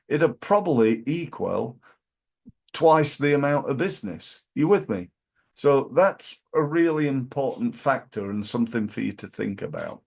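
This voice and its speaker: A man from Yorkshire with a Yorkshire accent and a hoarse voice, giving business advice to camera in his office, emphatic and repetitive, with many fillers. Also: medium pitch, a little mellow. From Yorkshire, England. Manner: emphatic, repeats key phrases, many fillers. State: hoarse from flu. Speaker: business coach. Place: office, in front of a backdrop screen.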